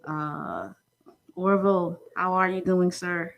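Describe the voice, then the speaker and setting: A woman's voice: lowish voice, fairly even intonation, slow pace, muffled recording. Chatty woman hosting a live stream. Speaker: host.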